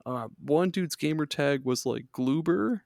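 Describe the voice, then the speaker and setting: Gravelly, profane man chatting on a podcast. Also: a little high, expressive, a little slow, darkish tone, no echo, studio quality. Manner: rambling, swears a lot, many fillers. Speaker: podcast guest. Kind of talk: casual gaming chat.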